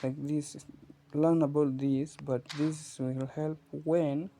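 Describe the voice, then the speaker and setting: Man, Kiswahili speaker, unhurried, monotone, gravelly, patient gaming tutorial host. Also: a little high, a little hiss or hum. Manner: explains patiently to viewers, often asking "you see?". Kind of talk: casual gameplay tutorial commentary. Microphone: phone recording.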